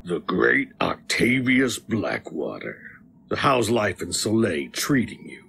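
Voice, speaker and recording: An actor playing a sly chained prisoner in an audio drama, a gravelly tempter who bargains in a taunting, persuasive way. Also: male voice, lowish voice, big pitch swings, slow, a bit bright, slight background noise, heavily acted. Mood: smug, calculating.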